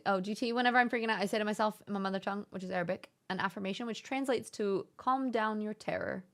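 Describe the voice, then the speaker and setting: A young woman hosting a casual live-stream chat, speaking in a gentle, encouraging and thoughtful way. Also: mid-range voice, fast talker. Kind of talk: casual live-stream chat, reading viewer messages aloud.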